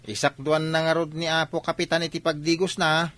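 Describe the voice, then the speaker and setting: A man's voice: on the high side, monotone, a little fast, a bit bright, no room sound, natural voice. A man acting a nosy, wry village neighbour in an Ilocano comedy radio drama.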